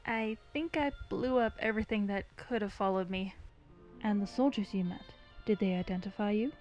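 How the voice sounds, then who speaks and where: A woman acting anxious, emotional female characters in a dramatized fantasy story, with halting delivery. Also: medium pitch, highs cut off, faint music behind, natural voice. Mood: earnest, urgent.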